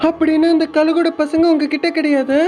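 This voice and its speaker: A man's voice: very high voice, flat delivery, a little fast, darkish tone, soft background music, pitched above the performer's own voice. A man voicing a menacing ghost sparrow in a cartoon story, vengeful and blunt.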